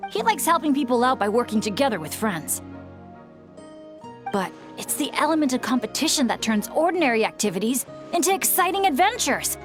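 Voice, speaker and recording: A woman playing a sunny, enthusiastic young adventurer girl in a video game, upbeat and friendly, with music behind. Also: sits high. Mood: confident.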